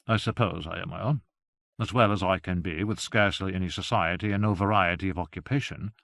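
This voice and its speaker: A man voicing a lonely, bitter wealthy lady who speaks coolly and measured. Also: low voice, fairly even intonation, vocal fry, a bit bright, dry recording, clean studio sound. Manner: cool, clipped and measured.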